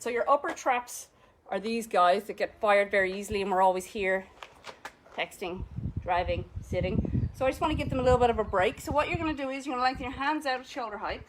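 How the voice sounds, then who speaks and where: A woman's voice: a little high, a little hiss or hum, low-quality recording. A woman with an Irish accent teaching a live exercise class, cueing each movement and counting reps with encouragement. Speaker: fitness instructor.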